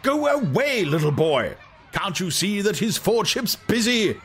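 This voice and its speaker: A man voicing a pompous, irate institute director in an audiobook, scolding with indignant shouts. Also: sits high, sing-song, measured pace, breathy edge, pitched above the performer's own voice, full character voice. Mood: angry, scolding. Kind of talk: dramatized audiobook acting.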